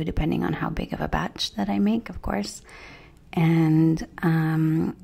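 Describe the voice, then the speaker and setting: A chatty middle-aged woman with a low, gravelly voice talks through a cooking vlog. She is friendly, easygoing and drifts off topic before pulling herself back, with soft music faint underneath. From Canada. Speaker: cooking vlogger. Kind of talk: casual cooking vlog, talking to camera and voice-over. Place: outdoors by a brick wall.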